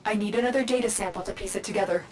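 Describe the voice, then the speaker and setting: A woman voicing a calm, secretive game guide. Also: medium pitch, natural voice. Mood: urgent. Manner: calm, measured, urgent instructions. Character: calm, mysterious female guide, wary and secretive. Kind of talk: video game mission voice acting.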